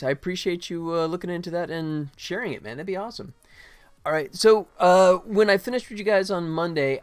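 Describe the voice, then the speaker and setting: A middle-aged man streaming as a game developer, chatting casually with viewers in a friendly, self-deprecating, rambling way with halting starts. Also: a little high, smooth, dry recording. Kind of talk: casual livestream chat to viewers.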